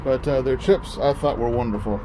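A man's voice: medium pitch, fairly quick. A man vlogging casually as he walks around outside, musing aloud with lots of fillers, recorded on a handheld phone. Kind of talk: casual walking vlog narration. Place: outdoors in a parking lot by a closed restaurant. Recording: outdoor traffic noise.

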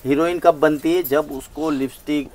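A fairly high-pitched man in his thirties talks up his wares with emphatic, persuasive conviction. He walks and gestures through a large indoor showroom as he speaks. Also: fairly even intonation, normal speed, darkish tone. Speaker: saree wholesaler. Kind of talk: sales pitch in a shop interview.

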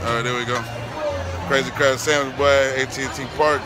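A man's voice: medium pitch, little pitch movement, a little fast. A man in his thirties vlogging on a phone held at arm's length, chatting enthusiastically as he tours a busy ballpark. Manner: upbeat, enthusiastic and chatty with fans. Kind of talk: casual selfie-camera vlog narration. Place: outdoors and in a busy baseball stadium concourse. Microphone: phone camera at arm's length. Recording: noisy crowd and background music. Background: crowd chatter and music.

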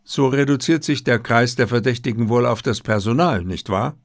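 A man voicing a brisk, self-assured detective who speaks in probing, inquisitive phrases in an audiobook. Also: medium pitch, no room sound, clean studio sound, lightly acted. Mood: probing, keen. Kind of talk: audiobook character dialogue.

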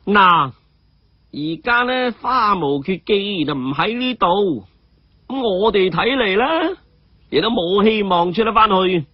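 A storyteller voicing a cheeky, quick-witted young man who pleads with exaggerated earnestness and dramatic sighs. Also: mid-range voice, very animated, no room sound, pitched above the performer's own voice. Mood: theatrical pleading. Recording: dull, muffled audio.

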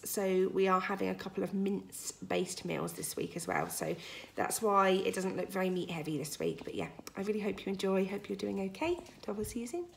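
Gravelly woman in an echoey kitchen. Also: medium pitch. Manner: chatty, listing items one after another. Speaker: family vlogger. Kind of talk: casual grocery haul vlog. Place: home kitchen, indoors. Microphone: phone camera at arm's length. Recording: echoey kitchen with faint noise.

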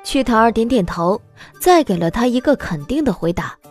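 Woman telling a story audiobook style, highish expressive voice, faint music behind. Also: moderate pace. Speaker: narrator. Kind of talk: dramatic audiobook narration. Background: soft background music.

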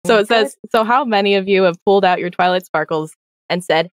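Woman chatting on an online panel, medium pitch, no room echo. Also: a little fast. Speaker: voice actor panelist. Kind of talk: casual live panel chat. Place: online voice call.

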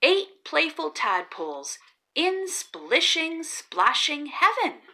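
A woman reading a children's picture book aloud as the channel host, in a slow storytelling manner with drawn-out pauses before each number. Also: sits high, sing-song, pushed and bright. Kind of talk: read-aloud storytelling for kids.

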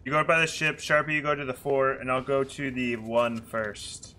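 Male gaming streamer, bright and forceful, exclamatory, game noise behind him. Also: mid-range voice, flat delivery, normal speed. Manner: exclamatory, playful. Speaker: gaming streamer, crew captain. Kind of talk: excitable gameplay chat with crewmates. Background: game sound effects and ambience.

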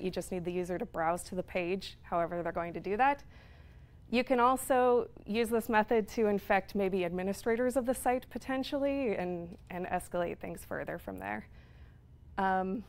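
A woman's voice: medium pitch, a little mellow. A woman in her thirties giving a technical talk in a lecture room, explaining at length with frequent fillers. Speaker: conference speaker. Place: lecture room with projector screen.

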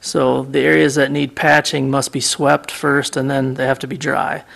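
A man, the public works director, giving a steady report with many ums at a council meeting in a city council chamber. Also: mid-range voice, normal speed, vocal fry.

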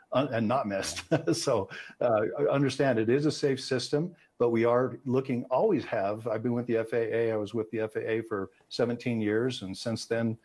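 Older male analyst, American accent, sixties, husky mid-pitched voice, expert news commentary, video call from a room. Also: darkish tone. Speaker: aviation safety analyst.

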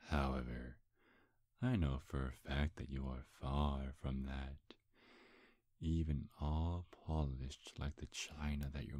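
Male actor as sly demon charmer for ASMR roleplay: extremely low voice, close dry mic, flirty and teasing. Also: dark tone, slightly rough, dry recording, full character voice. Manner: flirtatious, sly, hesitant stammers. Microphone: close, dry microphone.

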